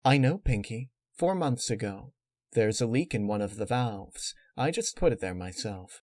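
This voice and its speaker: Calm, calculating villainess unicorn read by a man in a mid-pitched voice, lecturing and matter-of-fact, dry close recording for a dark storybook. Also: moderate pace, no room sound, lightly acted. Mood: calm menace. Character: cold, calculating female unicorn villain, calmly menacing.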